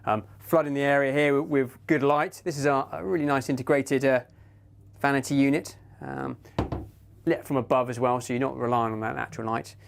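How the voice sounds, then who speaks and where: A man guiding a walkthrough of a yacht cabin, relaxed and descriptive, with ums. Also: mid-range voice, brisk, gravelly. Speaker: yacht tour guide. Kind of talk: walkthrough tour narration.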